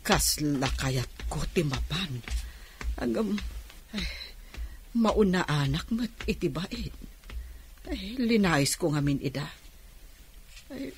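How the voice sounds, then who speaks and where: A woman's voice: deep voice, slight background noise. A woman acting a proud, resentful, sarcastic aunt in Ilocano radio drama, with a Filipino accent. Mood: bitter, muttering.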